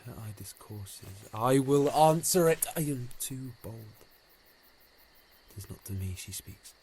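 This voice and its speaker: A man's voice: mid-range voice, expressive, slow pace, dark tone, husky, heavily acted. An actor playing a yearning, lovesick young nobleman in an amateur Shakespeare audio drama, recorded at home on a phone. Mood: rapt, yearning.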